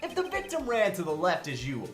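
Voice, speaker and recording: A man's voice: high voice, huge pitch swings, a little fast. A man voices a brash young courtroom lawyer full of slangy jokes in a comic game fandub. His delivery swings up and down, in a roomy recording with light music underneath. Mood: challenging, animated. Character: cocky, wisecracking young male defense lawyer. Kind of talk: comedic amateur game fandub.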